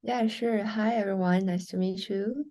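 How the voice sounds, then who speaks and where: A woman's voice: medium pitch, fairly even intonation, unhurried, warm tone. A woman in her twenties from Shanghai, China, introducing herself casually as a student on a video call. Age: 20s. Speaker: graduate student panelist. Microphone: video call microphone.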